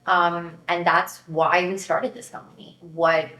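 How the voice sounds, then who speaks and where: A woman's voice: on the low side, flat delivery, measured pace, pushed and bright, echoey room, rough recording. A Pakistani woman in her thirties, a company founder speaking as a podcast guest, candid and direct, often adding "um" and "right?". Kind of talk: conversational podcast interview.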